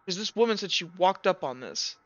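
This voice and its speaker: A man's voice: high voice, expressive, fairly bright tone, no echo. Man playing a skeptical female commander, narrow-band sound. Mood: grave, skeptical. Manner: halting, probing, matter-of-fact questioning. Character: female city watch commander questioning a witness, skeptical and methodical.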